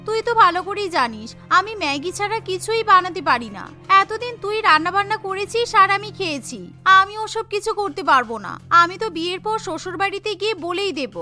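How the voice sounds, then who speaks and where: A woman's voice: very high-pitched, pushed and bright, full character voice. A woman voicing a lazy, spoiled, cheeky young bride in a cartoon, brash and carefree. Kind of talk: Bengali cartoon voice acting. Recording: music behind the voice.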